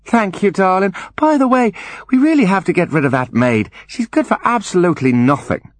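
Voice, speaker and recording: A man acts out a frail, bedridden mother in a storybook. The voice is lifted high and swings widely, crooning one moment and fretful the next. The manner is imperious and dramatic, with a delusional edge, recorded close and dry. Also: big pitch swings, a little fast, no room sound. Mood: imperious, fond.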